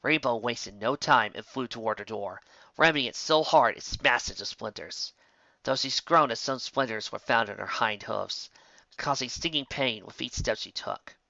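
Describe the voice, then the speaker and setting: A man's voice: medium pitch, moderate pace, creaky, pushed and bright, no echo, lo-fi sound. A man reading a fan fiction story as narrator, occasionally stumbling over words.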